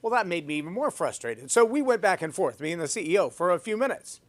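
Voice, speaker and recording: Male host, leadership monologue, emphatic, slightly higher voice, repeats key lines. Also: big pitch swings, quick pace. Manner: emphatic, repeats phrases for effect; self-described loud voice. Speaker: podcast host. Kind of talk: leadership talk with personal story.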